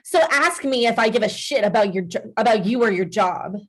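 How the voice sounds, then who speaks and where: A woman playing a blunt, exasperated, jealous wife with a husky voice in a screenplay table read. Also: a little high, expressive, brisk. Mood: panicked fury. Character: sharp, hurt, jealous wife, furious in crisis.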